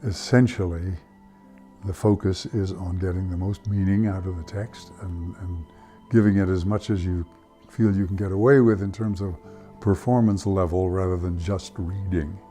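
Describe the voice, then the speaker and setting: An older man speaking reflectively in an interview, with measured, articulate and deliberate phrasing. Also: deep voice, fairly even intonation, warm tone, faint music behind. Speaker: theatre founder and director.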